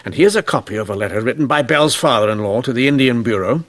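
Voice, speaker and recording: A man's voice: medium pitch, expressive, fast talker, a bit bright, close to an everyday voice. A man playing a shrewd, principled police inspector in an old-time radio mystery, speaking in a formal, sardonic way. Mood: grave, explaining. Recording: noisy old radio drama recording.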